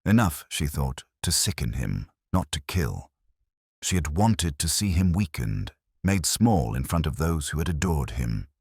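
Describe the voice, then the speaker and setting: A man reading a scripted first-person detective story in a measured, reflective, literary manner. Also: very deep voice, big pitch swings, darkish tone, breathy edge, no room sound, studio quality. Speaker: narrator.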